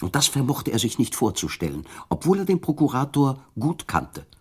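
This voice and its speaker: A man's voice: mid-range voice, wildly swooping pitch, normal speed, husky. A man narrating a literary radio drama from a script, telling the story in formal prose.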